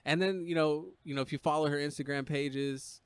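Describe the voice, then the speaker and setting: A man's voice: on the high side, fairly even intonation, a little fast, smooth. A man hosting a podcast, asking questions in an easygoing, rambling way with many fillers. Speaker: podcast host. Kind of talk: casual podcast interview.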